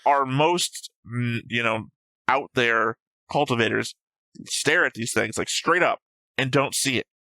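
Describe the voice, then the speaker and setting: A man's voice: mid-range voice, a little slow, pushed and bright, no echo, rough recording. A man in a casual discussion, arguing his points and swearing now and then as he analyses a show. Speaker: host of an anime reaction show.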